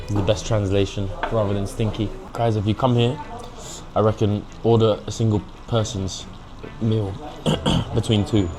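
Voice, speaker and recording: A man's voice: on the low side, little pitch movement, normal speed, music in the background, rough recording. A young British man talking casually and informally as a food vlogger, now and then dropping Mandarin phrases into his English. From England. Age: young adult. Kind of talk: casual food review vlog.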